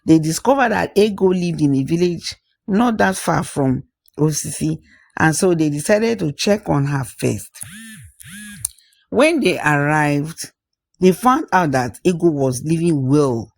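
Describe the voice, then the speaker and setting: A storyteller narrating a folktale in an expressive way, with interjections and repeated phrases. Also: mid-range voice, measured pace, vocal fry. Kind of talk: spoken folktale narration with acted dialogue.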